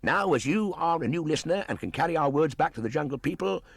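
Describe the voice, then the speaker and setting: A man voicing a boastful, excitable monkey in a storybook reading, loud and declaiming. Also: fairly high pitch, fast, vocal fry, breathy edge, dry recording, voice pushed up, heavily acted. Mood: boastful, excited. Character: boastful, shrill, excitable jungle monkeys shouting.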